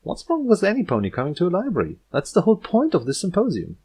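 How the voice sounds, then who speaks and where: A man's voice: sits high, wildly swooping pitch, fast talker, a little mellow, husky, pitched above the performer's own voice, exaggerated voice. A man voicing a young female pony princess in a storybook, bookish, awkward and easily flustered. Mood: flustered surprise.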